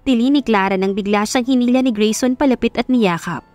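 A woman's voice: on the high side, fairly quick, darkish tone. A woman delivering scripted, dramatic narration of a love story as its narrator. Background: background music.

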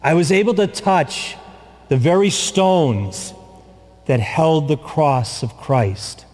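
Older priest preaching real slow and solemn, big church echo. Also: male voice, fairly high pitch, big pitch swings, darkish tone, faint music behind. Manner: slow, solemn and deliberate, with long pauses and repetition. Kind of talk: sermon. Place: large church, from the pulpit. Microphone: far camera, pulpit mic. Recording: long church reverb.

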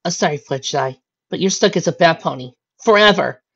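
A woman's voice: low voice, animated, a little fast, vocal fry, husky, pitched above the performer's own voice, exaggerated voice. A woman voicing a studious, conscientious female pony with an anxious, apologetic manner in a story reading. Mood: anxious, regretful. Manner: earnest, anxious. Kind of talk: fan fiction story reading. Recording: dull lo-fi recording.

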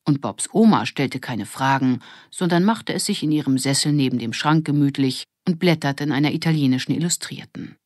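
Female narrator for children's audiobook, low register, very dry studio sound. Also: fairly quick, few pauses, a little mellow, no room sound.

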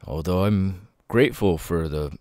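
A man voicing an apologetic, troubled ex-convict with tics, talking haltingly and stammering in an ASMR audio roleplay. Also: low-pitched, dry recording, studio quality. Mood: confiding, hesitant. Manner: halting, stammering, sudden loud tic outbursts.

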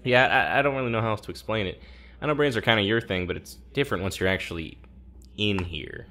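A man's voice: fairly low pitch, fast talker, pushed and bright. A man playing an anxious, hot-headed research subject in a naturalistic audio drama, stammering and informal. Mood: uneasy, awkward. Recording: faint background ambience.

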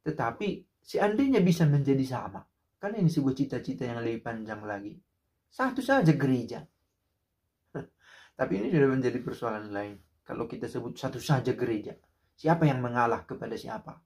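A man's voice: medium pitch, very animated, normal speed, a little mellow. A man in his thirties giving casual spoken commentary to the camera in a small room, reflective and full of rhetorical questions. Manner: reflective, rhetorical, many fillers and restarts. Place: small indoor room by a window.

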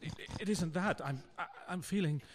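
An actor playing a bumbling, self-dramatizing husband in a radio play, stammering and florid. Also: male voice, sits high, normal speed, very noisy, close to an everyday voice. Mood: flustered, bewildered.

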